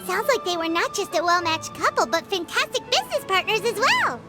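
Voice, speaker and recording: A woman voicing a tiny, childlike, caring game sidekick with a squeaky, bubbly, exaggerated delivery. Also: big pitch swings, brisk. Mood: perky. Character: tiny childlike female floating companion, perky, caring, talks in third person. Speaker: video game voice actor. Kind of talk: cartoonish game dialogue. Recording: music behind the voice.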